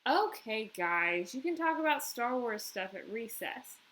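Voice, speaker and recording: Woman reading as a cheerful, higher-pitched schoolteacher. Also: very animated, measured pace, a little hiss or hum. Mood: cheerful, brisk. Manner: bright, encouraging, addresses children fondly. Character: cheerful kindly female schoolteacher addressing her class. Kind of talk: children's book read-aloud.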